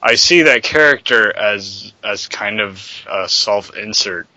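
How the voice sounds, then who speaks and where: Guy with a bright lowish voice, chuckles a lot, muffled lo-fi audio. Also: animated, slow, no room sound. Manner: laughs often, searches for words. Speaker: podcast co-host, voice actor. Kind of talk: casual chat. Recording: dull lo-fi backup audio.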